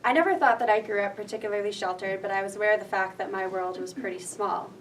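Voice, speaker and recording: A woman's voice: mid-range voice, fast. A young woman from Rhode Island telling an earnest personal story on stage. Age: young adult. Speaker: student storyteller. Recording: distant mic with faint noise.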